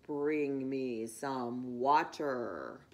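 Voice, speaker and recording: A commanding spirit of field and stream played by a woman speaks in a lowered storybook voice. Each demand is stretched out slowly and firmly. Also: exaggerated voice. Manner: slow, drawn-out demands.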